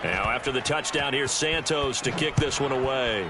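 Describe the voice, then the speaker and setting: A man playing a brisk, dramatic play-by-play announcer for an American football video game, speaking with an American accent. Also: medium pitch, natural voice. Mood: steady, keyed up. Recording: loud game noise over the commentary.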